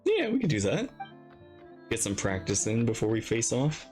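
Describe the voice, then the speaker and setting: A man's voice: medium pitch, huge pitch swings, normal speed, darkish tone. A man casually commentating as he reacts to a video game, with game music behind him. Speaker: gaming reaction streamer.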